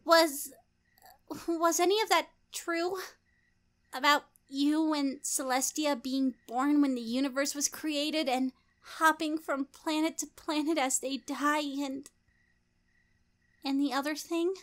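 A woman voicing a terrified, pleading young pony princess in a story reading, stammering and squeaky. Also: fairly even intonation, slow. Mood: timid, shaken. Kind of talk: dramatised fan fiction reading. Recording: music behind the voice.

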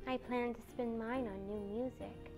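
Storybook peacemaker girl acted by a woman: gentle, quiet, mild, high-pitched. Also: fairly even intonation, a little slow, faint music behind, poor audio. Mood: plaintive. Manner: soft-spoken, mild.

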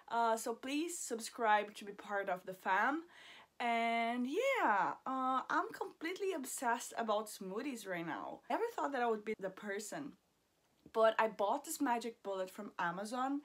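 Chatty, bubbly woman vlogging at home. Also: medium pitch. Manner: bubbly, laughs often, chatty asides. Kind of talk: casual vlog chat to camera. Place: small room at home, indoors. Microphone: camera held at arm's length.

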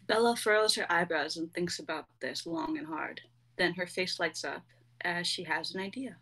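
A woman's voice: fairly low pitch, moderate pace, bright, forceful tone, rough recording. A young woman reading out stage directions as scripted narration. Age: young adult.

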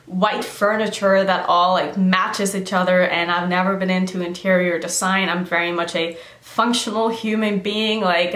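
A woman's voice: mid-range voice, fairly bright tone, echoey room. A woman in her thirties chatting casually to camera in a Q&A vlog, filling her talk with "like.". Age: 30s. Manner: chatty, lots of "like" fillers. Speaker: beauty vlogger. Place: decorated room indoors. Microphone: camera framing head and shoulders.

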